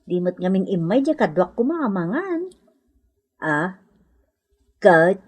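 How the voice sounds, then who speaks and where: An actress playing a well-off, generous madam with an Ilocano accent in a comedy radio drama, speaking in an animated, coaxing way. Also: female voice, mid-range voice, slow pace, husky, exaggerated voice. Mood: chatty. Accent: Filipino, Ilocano.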